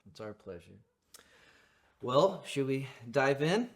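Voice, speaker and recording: A man's voice: mid-range voice, big pitch swings, takes it slowly. A man hosting an interview podcast who speaks in an earnest, thankful, upbeat way. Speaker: podcast host.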